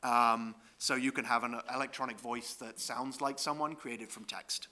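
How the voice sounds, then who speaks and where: A man's voice: mid-range voice, steady tone, moderate pace, pushed and bright. A man giving a conference talk over slides, explaining step by step with frequent ums and "right?" checks. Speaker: conference presenter.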